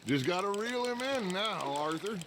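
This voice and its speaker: Assured American outlaw leader, highish voice. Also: male voice, animated, moderate pace, noisy background. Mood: musing. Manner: expansive, musing, assured. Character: philosophical, grandiose middle-aged male outlaw gang leader. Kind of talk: video game acting. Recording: water and boat sounds behind.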